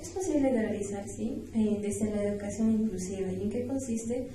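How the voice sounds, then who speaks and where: A woman's voice: medium pitch, normal speed, mellow. A young woman with a southern Peruvian accent giving prepared, formal answers as an interview guest. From San Román province, Puno, Peru. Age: young adult. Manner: formal, recited. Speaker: guest, university student. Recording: echoey room, distant mic, faint noise.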